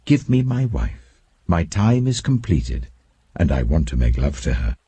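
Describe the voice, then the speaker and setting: A man's voice: a bass voice, sing-song, a little slow, warm tone, husky, dry recording, heavily acted. A man voicing an indignant, ardent male suitor in a dramatic scripture audiobook, with pleading, swooping emphasis. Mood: impatient.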